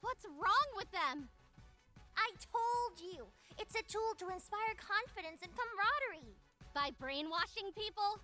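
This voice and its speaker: A woman's voice: very high voice, animated, a little slow, pushed and bright, soft background music, exaggerated voice. A woman voicing indignant, argumentative magical girl characters in a theatrical visual novel style. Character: feisty magical girl bickering with a prim, preachy pixie. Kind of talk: animated visual novel voice acting.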